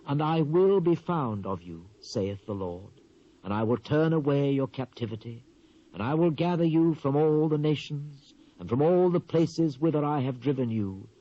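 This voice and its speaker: A grave old-testament prophet, mellow dark voice, slow weighty declaiming, bible drama off a muffled old tape. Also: male voice, medium pitch. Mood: grave, assuring. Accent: British. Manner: grave, measured, declamatory with drawn-out phrases. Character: solemn male Hebrew prophet proclaiming God's judgement. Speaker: actor reading dramatised scripture. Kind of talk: dramatised King James Bible reading. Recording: dull, muffled old cassette transfer.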